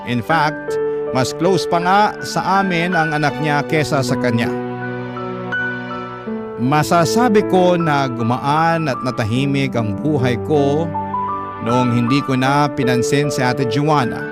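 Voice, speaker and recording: Filipino male storyteller over loud piano. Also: on the high side, slow. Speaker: radio host reading a listener's story. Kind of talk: dramatic first-person story narration. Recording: prominent piano music underneath. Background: loud piano music.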